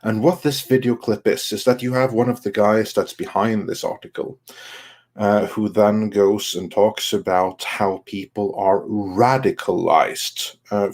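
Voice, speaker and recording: A man giving casual panel commentary in a halting, long-winded explanatory way. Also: fairly low pitch.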